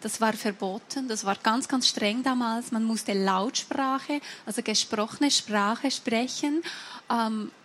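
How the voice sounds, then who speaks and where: A deaf woman from Switzerland with a Swiss German accent, whose first language is sign language. She tells her own story to an audience in measured, deliberate speech with frequent hesitations. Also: a little high, a bit bright, a little hiss or hum. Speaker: guest speaker at a book fair event.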